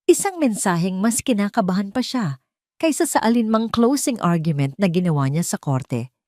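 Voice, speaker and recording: A Filipino woman delivering dramatic scripted story narration in a measured, sentimental way with deliberate phrasing. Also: mid-range voice, animated, warm tone, dry recording, studio quality.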